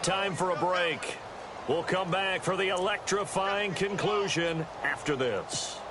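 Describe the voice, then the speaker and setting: A man playing an upbeat, polished play-by-play announcer in an American football video game, with an American accent and crisp phrasing, over loud noise. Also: high voice, unhurried. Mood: steady, engaged. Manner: crisp announcer phrasing, rising on big plays. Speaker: play-by-play commentator.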